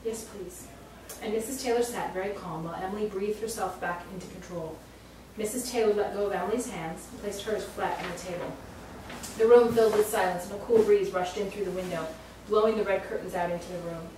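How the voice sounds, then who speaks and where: Casting: female author at a live reading, narrating prose fiction, mid-pitched, recorded from afar in a reverberant room. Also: steady tone, fast. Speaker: author reading at a literary salon. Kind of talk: live literary short story reading. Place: indoor salon event, recorded live. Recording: very echoey room, distant mic, faint noise.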